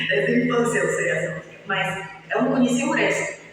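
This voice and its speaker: A woman from Bulgaria, talking reflectively as a musician interviewee. She pauses often with drawn-out fillers. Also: a little high, a bit bright. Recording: echoey, long-reverb room, mic far off, faint hiss.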